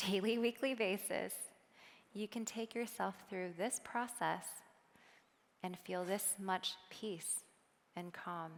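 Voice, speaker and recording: A woman keynote speaker on a stage, guiding a meditation softly and then talking with the audience in a chatty way. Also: medium pitch, creaky, big-room sound. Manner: soft, slow guiding then lively chatty with laughs. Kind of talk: guided meditation and audience talk. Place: large hall on a stage.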